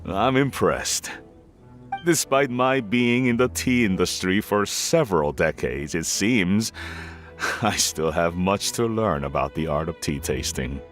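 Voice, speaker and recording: A man's voice: deep voice, expressive, takes it slowly, fairly bright tone, faint music behind, heavily acted. An actor playing an older, polite and fatherly tea merchant who talks in a courteous, deferential way. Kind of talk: game voice acting.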